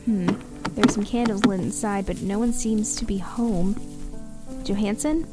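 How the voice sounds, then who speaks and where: Woman playing a brisk, bossy lead detective. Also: mid-range voice, fairly even intonation, over loud music, natural voice. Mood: alert. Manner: authoritative, dry, curt. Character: bossy, brisk, sharp-tongued female lead police detective. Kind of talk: audio drama acting.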